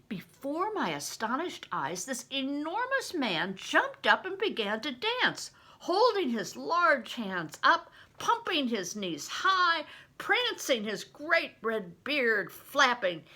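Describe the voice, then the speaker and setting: An older woman reading a story aloud in a deliberate, dramatic, teacherly way, with chatty explanatory asides. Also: a little high, pushed and bright, slightly rough.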